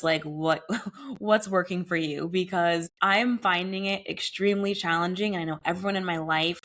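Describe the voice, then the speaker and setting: A young adult woman hosting her wellness podcast alone, talking in an earnest, conversational way, her voice a bit raspy from a recent cold. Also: lowish voice, a bit bright. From United States. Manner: earnest, conversational, uses casual "y'all" and "like". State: slightly hoarse from a cold. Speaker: podcast host. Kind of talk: solo wellness podcast monologue.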